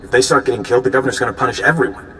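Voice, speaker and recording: An actor playing an idealistic young resistance informant who talks in an earnest, friendly way. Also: male voice, medium pitch, rapid-fire, bright, forceful tone, a little hiss or hum, lightly acted.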